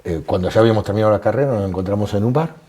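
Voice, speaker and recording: Husky, mellow middle-aged Buenos Aires man. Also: fairly low pitch, rapid-fire. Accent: Buenos Aires Spanish. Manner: reflective, explains step by step. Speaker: interview guest.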